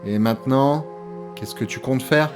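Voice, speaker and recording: Guy acting laid-back deadpan sidekick, average pitch, bit mocking, audio drama, soft music behind. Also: unhurried, natural voice. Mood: uneasy. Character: deadpan, casual male sidekick, gently mocking.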